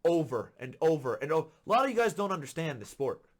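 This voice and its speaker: A man hosting a football podcast, ranting with frustration and repeating his points for emphasis, a little hoarse from being sick. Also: fairly high pitch, big pitch swings, quick pace. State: slightly hoarse from illness. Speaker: podcast host. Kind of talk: solo football commentary rant.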